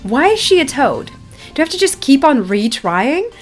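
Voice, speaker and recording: A woman's voice: sits high, very animated, normal speed, soft background music. A woman giving casual, chatty, self-deprecating gameplay commentary as a video host, sometimes reading quest text aloud.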